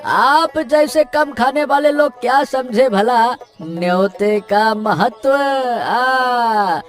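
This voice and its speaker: A woman's voice: fairly high pitch, extremely slow, soft background music. A woman playing a cheeky, boastful feast-loving glutton in a comic cartoon. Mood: boastfully indignant. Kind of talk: Hindi cartoon comic dialogue.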